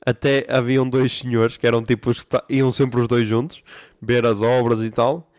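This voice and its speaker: A man's voice: mid-range voice, flat delivery, moderate pace, darkish tone, dry recording, studio quality. A young man with a European Portuguese accent hosting a casual solo podcast, ranting in a profane, slangy way. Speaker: podcast host.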